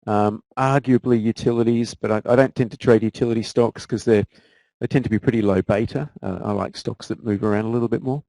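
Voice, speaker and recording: A man's voice: lowish voice, steady tone, brisk, gravelly, warm tone, no room sound, rough recording. A man with an Australian accent, a guest trader answering viewer questions in a casual live Q&A, often repeating words as he restarts sentences.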